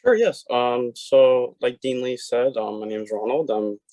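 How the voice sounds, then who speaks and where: A man's voice: medium pitch, a little slow, mellow, dry recording. Young man. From Puerto Rico. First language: Spanish. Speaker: student. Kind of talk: online information session.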